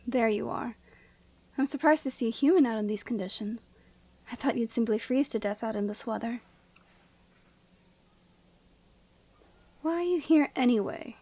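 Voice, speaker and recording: Girl acting out a haughty yeti woman for asmr roleplay, kinda high voice, bossy but teasing, formal and measured. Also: mellow, slightly rough. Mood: amused, haughty. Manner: imperious, measured, formal phrasing.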